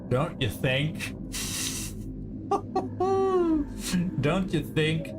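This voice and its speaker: Slow-talking man commentating over game music. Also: mid-range voice. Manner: thinking aloud, deliberate. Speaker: gaming video host. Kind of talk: casual strategy game commentary. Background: video game music.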